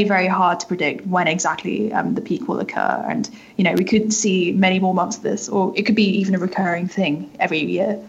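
Husky woman explaining, lo-fi audio. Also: medium pitch. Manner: hedging, filler-heavy explanations. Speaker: guest journalist. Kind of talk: interview explanation. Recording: dull, lo-fi audio.

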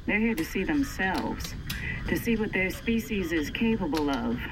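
A woman's voice: fairly low pitch, big pitch swings, normal speed, over music, poor audio. An actress playing a sardonic, blunt female spaceship systems engineer in an audio drama. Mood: dry, mocking. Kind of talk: immersive audio drama acting.

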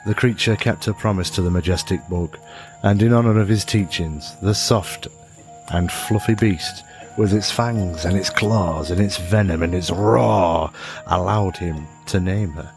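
A man acting as a storyteller in an audio drama, narrating a fable in a dramatic storybook style. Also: low-pitched. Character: man telling a fable to a youngster. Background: music.

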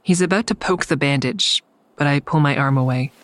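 An actress plays a guarded, sleepless woman with a low voice. She confides in the listener with understated delivery, as the narrator and lead of an audio drama. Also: brisk, close to an everyday voice. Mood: weary, confiding. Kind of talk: audio drama first-person narration and dialogue.

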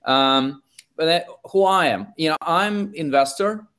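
A man's voice: a little high, pushed and bright, clean tone. A man from Russia with a Russian accent, answering interview questions as an investor, often restarting his phrases. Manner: halting, restarts phrases, hesitant fillers. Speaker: investor and author.

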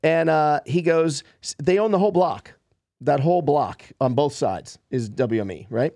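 A man's voice: fairly high pitch, normal speed, dark tone, dry recording, studio quality. A man in his fifties, a guest on a podcast, casually telling stories about his past. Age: 50s. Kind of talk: casual storytelling.

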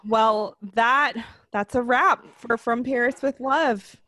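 A woman's voice: on the high side, takes it slowly, sharp tone, no room sound. Upbeat woman hosting a podcast. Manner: upbeat, leads trivia quiz. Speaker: podcast host. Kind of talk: casual podcast chat.

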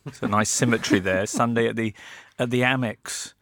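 Punning football podcast host. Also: male voice, mid-range voice, moderate pace. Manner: playful, punning, lively presenting.

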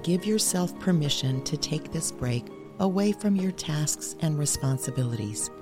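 A woman hosting and leading a guided meditation in a measured, instructional way, reading scripted narration. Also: low-pitched, animated, music in the background. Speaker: meditation guide and podcast host.

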